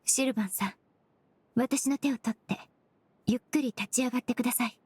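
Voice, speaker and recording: Woman playing a composed, polite young investigator, higher voice. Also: darkish tone, a little hiss or hum, natural voice. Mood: composed, reassuring. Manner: formal, measured politeness. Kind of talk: anime game voice acting.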